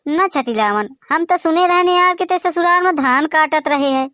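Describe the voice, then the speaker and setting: A man's voice: very high voice, moderate pace, bright, forceful tone, dry recording, clean studio sound. A man with a Bagheli accent voicing a gossipy village man in a comic cartoon, talking in complaining village banter.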